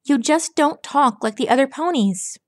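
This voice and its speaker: A woman's voice: high-pitched, animated, moderate pace, a little mellow, dry recording, clean studio sound, voice pushed up. A woman voicing a brash, cocky young female pegasus pony who teases in casual slang. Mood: teasing. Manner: teasing, casual slangy talk.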